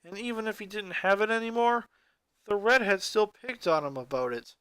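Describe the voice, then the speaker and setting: A narrator reading a scripted story aloud in an expressive way. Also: mid-range voice, normal speed, clean tone, dry recording.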